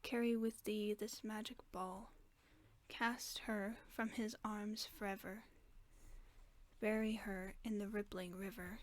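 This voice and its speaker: Wicked old witch in a bedtime storybook, whispered by a woman, slow and hushed, flat and even chant. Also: medium pitch, close to an everyday voice. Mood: vengeful. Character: wicked old female witch casting a spell.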